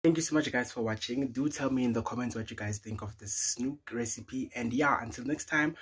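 A young South African man with a husky, mid-pitched voice talks viewers through a home cooking recipe. He is casual and chatty and often drops in "you know". He records in his own kitchen on a phone camera. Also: brisk. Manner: chatty, addresses viewers directly, many "you know" fillers. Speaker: cooking channel host.

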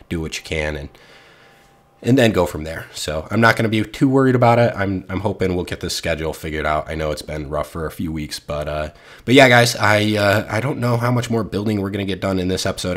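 An American man with a stuffy, slightly nasal voice from a head cold, rambling casually over a game build. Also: deep voice. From United States. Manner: rambling, chatty, many ums and restarts. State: recovering from a head cold, congested and a little nasal. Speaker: game building channel host.

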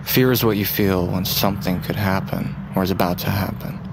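A man's voice: sits low, fairly even intonation, brisk, heavy background noise. An actor playing a bitter, guilt-ridden, foul-mouthed young man in an audio drama. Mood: brooding, bitter. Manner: profane, brooding. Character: bitter, guilt-ridden young man, drug dealer, narrating his ordeal. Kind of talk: audio drama acting, first-person narration.

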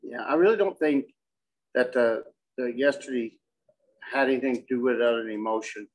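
A middle-aged man, a coach answering questions at a postgame press conference in a measured, halting way, often repeating words. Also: medium pitch, poor audio. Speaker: softball coach. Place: indoor press conference room with curtain backdrop.

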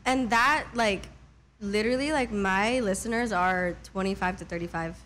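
A young woman in her twenties, a singer talking casually as an interview guest, relaxed and chatty with many fillers. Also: mid-range voice, normal speed, a little hiss or hum. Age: 20s. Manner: relaxed, chatty, lots of "like" and "mm-hmm".

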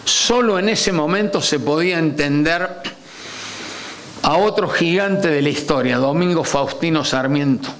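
An older man with an Argentine accent lectures from a panel table, his voice sitting somewhat high for a man. He is animated, stretching key words out for weight and tossing in rhetorical questions. He speaks into a gooseneck microphone in a conference room. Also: unhurried. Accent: Argentine Spanish. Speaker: lecturer on constitutional history. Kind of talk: academic lecture.

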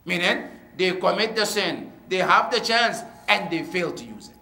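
Gesturing cleric in an echoey mosque hall. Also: male voice, sits high. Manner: explanatory, animated with hand gestures, quotes Arabic verses quickly. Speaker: cleric answering questions. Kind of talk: religious Q&A teaching. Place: mosque prayer hall, seated on floor. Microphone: floor mic stand beside him.

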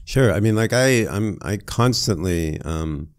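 A man in his thirties from Canada, a language teacher chatting as a podcast guest, filling his speech with "like" and "I mean", recorded in a small studio on a boom-arm mic. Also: animated, unhurried. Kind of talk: casual conversation. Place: small studio with foam panels.

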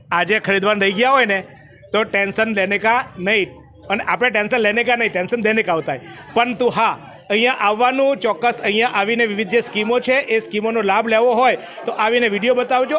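High-pitched, fast-talking chatty reporter man. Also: bright, forceful tone. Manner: chatty, salesy, jokes with viewers. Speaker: local news reporter. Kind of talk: on-the-spot promotional report. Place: crowded mobile shop, indoors. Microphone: handheld reporter mic.

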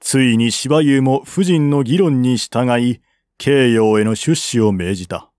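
A man's voice: mid-range voice, a little slow, darkish tone, dry recording. Formal male storyteller, dry close sound. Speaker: audiobook reader. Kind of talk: scripted narration of a historical novel.

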